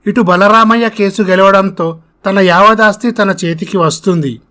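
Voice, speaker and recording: A man's voice: high-pitched, moderate pace, bright, forceful tone. A man reading a scripted moral story as its narrator.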